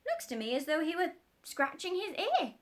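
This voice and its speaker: A woman's voice: very high voice, huge pitch swings, normal speed, pitched above the performer's own voice, exaggerated voice. Woman doing a mild, polite doctor. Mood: mild, curious. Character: gentle, kindly man, a country doctor.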